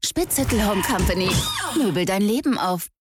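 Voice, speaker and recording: A woman's voice: medium pitch, lively intonation, normal speed, music in the background. A woman reading a scripted radio advertisement as an announcer.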